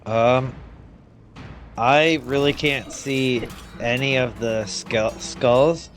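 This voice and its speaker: A man's voice: mid-range voice, steady tone, very slow, soft background music. A man playing a tabletop game, thinking aloud in a casual, hesitant way. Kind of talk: casual, halting game talk.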